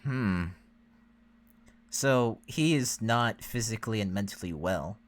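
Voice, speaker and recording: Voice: mid-range voice, animated, slow pace. An easygoing co-host chatting casually and reassuringly during a reaction.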